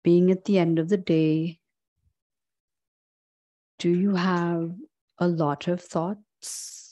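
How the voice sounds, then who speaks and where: A woman yoga instructor leading a guided meditation in a calm, gentle, unhurried way with long pauses. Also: fairly low pitch.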